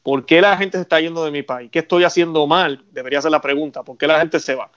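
A man's voice: on the high side, fairly even intonation, fast talker, a bit bright, smooth, lo-fi sound. A man with a Puerto Rican Spanish accent hosting a talk show, speaking earnestly in a preaching tone with religious references. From Puerto Rico. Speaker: radio show host.

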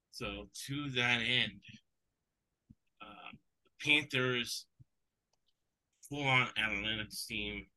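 Male betting-show host, second-language English speaker, very bright tone, rambling asides. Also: mid-range voice, rough recording. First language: not English. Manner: rambling, digressive, chuckles often. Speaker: sports betting podcast host. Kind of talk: casual betting talk.

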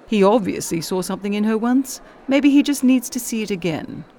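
An actress playing a self-assured, crisp, matter-of-fact agent in a comic superhero audio drama. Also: female voice, medium pitch, animated, brisk, mellow, a little hiss or hum, close to an everyday voice. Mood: knowing. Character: self-assured, dry, all-knowing agent woman.

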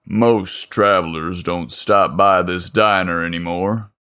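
A burly small-town mechanic with a rough, deep voice and an easy, friendly drawl, as a male narrator puts him on. He talks slowly in a storybook reading. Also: steady tone, fairly bright tone, exaggerated voice.

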